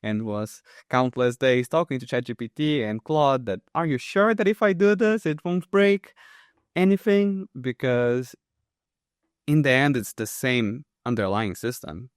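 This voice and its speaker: A man's voice: medium pitch, a little slow, clean tone, dry recording, clean studio sound. A young adult man with a Brazilian accent, chatting casually about work as a co-host. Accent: Brazilian Portuguese. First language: Portuguese.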